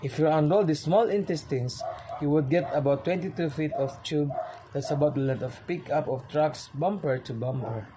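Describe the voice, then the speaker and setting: A man acts out an earnest digestive system pleading its case in amateur reader's theater. The voice sits a little high and explains things formally, as scripted testimony, over faint noise. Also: normal speed, natural voice. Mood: explaining. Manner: explanatory, formal courtroom address.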